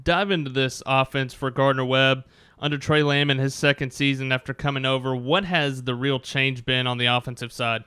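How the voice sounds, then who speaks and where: A man's voice: medium pitch, little pitch movement, normal speed, bright, forceful tone, clear, resonant tone, no echo, studio quality. A man hosting a sports show, putting interview questions to a guest.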